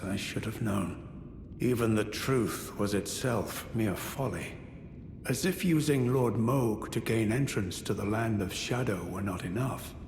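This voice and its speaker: An aged former knight from a fantasy game, lowish and slow in speech. He is courteous and formal, heavy with weariness and sorrow. His words ring through a long hall reverb over noisy ambience. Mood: indignant, grieving. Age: older. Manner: formal, archaic, measured, grave phrasing. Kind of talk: scripted video game character dialogue.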